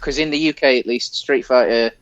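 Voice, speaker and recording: A man's voice: mid-range voice, fairly even intonation, fairly quick, dull audio, a loud crowd. A British man co-hosting a podcast, chatting casually about a film in a hesitant, rambling way. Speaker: podcast co-host.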